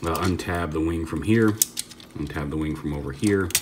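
A man's voice: rumbling low, moderate pace, noisy background. A man giving a casual hands-on toy review, rambling and stopping to correct himself as he handles the figure. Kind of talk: casual hands-on product demo.

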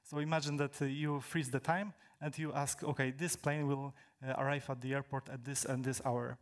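Young man, from Poland, native Polish speaker, conference talk, handheld mic, large hall, halting, frequent fillers. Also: medium pitch, little pitch movement. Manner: halting, many fillers and restarts. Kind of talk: technical talk to an audience. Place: large hall with stage, indoors.

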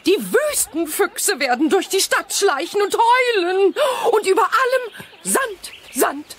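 Frantic, high-pitched newspaper reporter woman. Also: big pitch swings, takes it slowly, a little hiss or hum, exaggerated voice. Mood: alarmed, melodramatic. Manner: theatrical, gushing, exaggerated. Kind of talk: children's audio drama acting.

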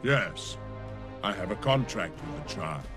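Proud armored polar bear: solemn, measured, few words, fantasy epic with score underneath. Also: male voice, low voice, lively intonation, over music. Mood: grave. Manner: grave, solemn, terse. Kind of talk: fantasy film dialogue.

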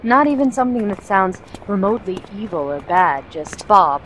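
A woman playing a composed, wry assassin, explaining things in a measured way in an audio drama. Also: medium pitch, big pitch swings, slight background noise, lightly acted. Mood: wry, resolute.

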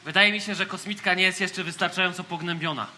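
A man's voice: high-pitched, fairly even intonation, fast, a little hiss or hum. An actor playing a bossy, macho boy captain in a radio play, pompous and scornful. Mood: suspicious. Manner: pompous, self-assured. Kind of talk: theatrical radio play acting. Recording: loud music behind the voice.

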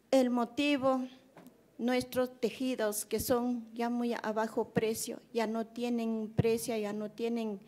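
Middle-aged woman, Guatemalan accent, fairly high voice, very slow, halting testimony. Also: a little mellow. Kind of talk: formal testimony, halting and repetitive. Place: conference hall hearing room. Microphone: gooseneck table microphone.

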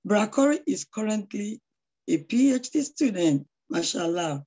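A middle-aged woman with a hoarse voice, introducing a speaker on a video call with effusive praise and frequent prayers. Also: mid-range voice, sing-song, normal speed, dark tone, highs cut off. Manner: devout, effusive, praying often. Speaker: charity founder introducing a speaker. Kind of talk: online lecture introduction. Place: small room at home. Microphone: laptop webcam on a video call.

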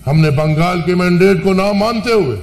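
A man giving an impassioned, rhetorical speech as a senator in a large parliament chamber, pausing at length between phrases. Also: high-pitched. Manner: impassioned, rhetorical, with long pauses. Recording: parliament broadcast with hall reverb.

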